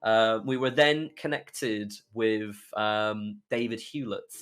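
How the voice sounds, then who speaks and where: A man's voice: on the low side, takes it slowly, fairly bright tone, clear, resonant tone. A young British man telling stories casually as a podcast guest, chatty and rambling as he goes. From United Kingdom. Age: young adult. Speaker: podcast guest, YouTuber and streamer.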